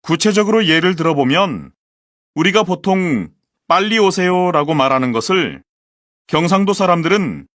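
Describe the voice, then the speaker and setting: A man reading a scripted presentation for a language textbook recording, with clear, measured, formal delivery. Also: high-pitched, animated, a bit bright, no room sound, clean studio sound. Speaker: textbook audio voice actor.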